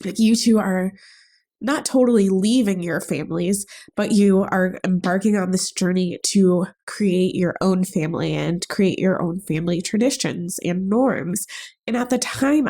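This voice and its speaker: Young woman, podcast host with a stuffed-up head cold, mellow voice, earnest and gentle. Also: medium pitch, unhurried. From United States. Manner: earnest, gentle, thinking aloud. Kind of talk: reflective solo podcast talk.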